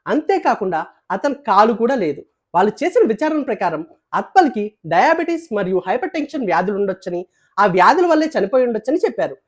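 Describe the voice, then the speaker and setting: A woman presenter narrates scripted news in a high voice. Her pitch moves up and down a lot as she reads.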